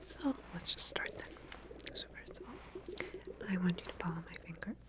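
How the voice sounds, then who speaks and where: A woman whispering softly in an ASMR roleplay as a medical researcher, gently giving reassuring instructions. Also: lowish voice, expressive, moderate pace. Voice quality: breathy, unvoiced whisper.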